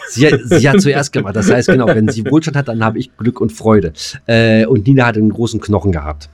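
A man's voice: lowish voice, fairly quick, darkish tone, husky. A man chatting as a podcast co-host in a loose, rambling, hesitant way with some crude slang. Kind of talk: casual podcast chat.

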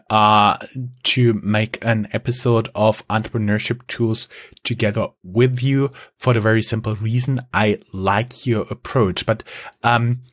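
Husky low-voiced podcast host. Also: male voice, dry recording. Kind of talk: podcast interview.

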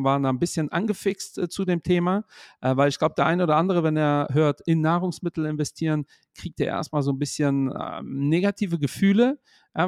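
A man's voice: a little high, a little mellow, smooth, no room sound, clean studio sound. A man hosting an investing podcast from his home office, with upbeat greetings and a lot of fillers. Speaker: host. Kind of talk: podcast chat.

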